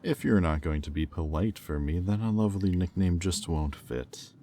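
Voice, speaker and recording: A man playing a smug, predatory demon in a roleplay audio drama, teasing and taunting in a silky manner with deliberate pauses. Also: low voice, mellow, slight background noise. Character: smug, predatory male demon toying with a captive angel.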